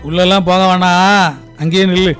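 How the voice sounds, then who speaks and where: An actor playing a scheming, taunting younger brother in a radio drama. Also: male voice, high-pitched, little pitch movement, normal speed, a bit bright, clean tone, soft background music. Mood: cool, smug.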